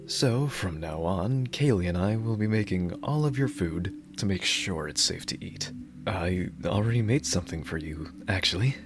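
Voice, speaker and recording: A man playing a dorky, lovestruck bodyguard in an ASMR roleplay, speaking in an awkward, halting, earnest way. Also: low voice, animated, music in the background. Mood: awkward, eager.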